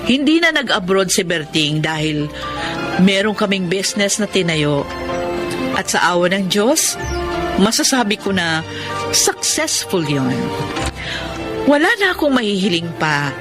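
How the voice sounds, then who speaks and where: A woman's voice: medium pitch, sing-song, a little slow, pauses often. A woman acting a devoted stepmother and new wife in Filipino radio drama, speaking earnestly and with feeling. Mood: grateful. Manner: emotional, earnest delivery. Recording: radio drama with music bed. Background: music.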